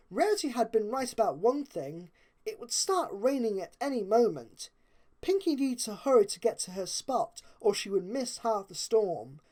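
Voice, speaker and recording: A woman's voice: mid-range voice, warm tone. A woman narrating a story expressively, as if reading a storybook aloud.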